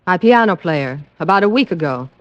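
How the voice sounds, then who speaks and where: A woman's voice: lowish voice, expressive, a little fast, loud music behind the voice, natural voice. An actress playing a polite, guarded woman being questioned in an old radio mystery, on a muffled recording. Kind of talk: 1950s radio detective drama acting.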